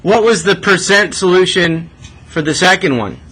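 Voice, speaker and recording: A man's voice: sits high, lively intonation, normal speed, pushed and bright, slightly rough. A man teaching math step by step in a conversational way, asking checking questions as he goes. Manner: conversational, often tags questions with "right?".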